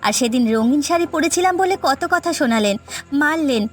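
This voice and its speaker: A woman's voice: high-pitched, animated, fairly quick. A woman voicing a wronged young widowed daughter-in-law in a cartoon family drama, earnest and firm. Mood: shocked, defiant. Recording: background music.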